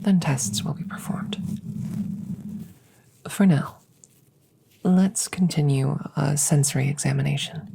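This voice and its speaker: A woman playing a curious, clinical alien scientist in an ASMR roleplay, speaking softly and formally at a slow, measured pace, close to the mic. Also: deep voice, gravelly, heavily acted. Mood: fascinated, hushed. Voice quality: soft, often whispered close to the mic. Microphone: close microphone.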